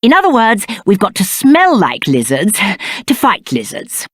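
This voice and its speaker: A man's voice: high voice, wildly swooping pitch, unhurried, fairly bright tone, no room sound, studio quality, heavily acted. A male actor playing a spoiled, cowardly young prince in a video game, with a British accent and haughty, whiny, aristocratic diction. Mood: haughty, commanding. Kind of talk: theatrical video game character acting.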